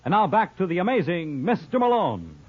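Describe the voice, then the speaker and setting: A man announces in the manner of 1950s network radio, reading scripted promos. His voice is fairly high and swings animatedly. The vintage recording is a little dull. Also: wildly swooping pitch, brisk, soft background music.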